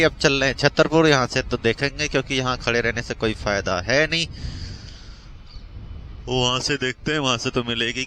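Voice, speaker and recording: A man's voice: mid-range voice, bright, forceful tone. Casual North Indian male vlogger, everyday chatty delivery, informal and repetitive, outdoors with light vehicle noise behind. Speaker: vlogger and bike taxi rider. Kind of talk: casual chatty daily vlog. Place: outdoors on city roads and worksite.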